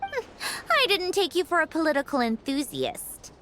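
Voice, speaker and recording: A woman's voice: high voice, huge pitch swings, a little slow, fairly bright tone, slight background noise, full character voice. A woman voicing a shrewd, playful female villainous officer in a game, teasing with a drawl. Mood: teasing, amused. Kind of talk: scripted game story dialogue.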